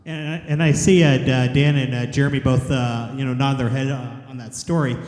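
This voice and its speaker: A man's voice: medium pitch, fairly even intonation, fairly quick, darkish tone. Male panel moderator, far off in echoey hall. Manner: hesitant, filler-heavy.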